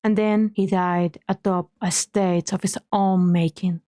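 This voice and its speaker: A woman reading scripted dramatic story narration in a measured, solemn manner. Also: on the low side, steady tone, mellow. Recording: background music under the voice.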